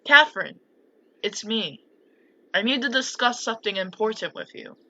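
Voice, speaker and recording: A woman's voice: medium pitch, very animated, normal speed, pushed and bright, highs cut off, heavily acted. A woman voicing a proud, dignified older noblewoman in a visual novel, speaking formally. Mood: stern, formal.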